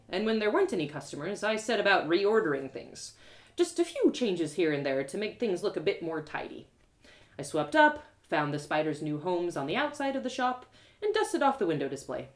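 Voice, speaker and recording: A woman storyteller reading first-person fiction aloud in an expressive, theatrical way. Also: on the low side, fairly quick. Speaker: author and storyteller.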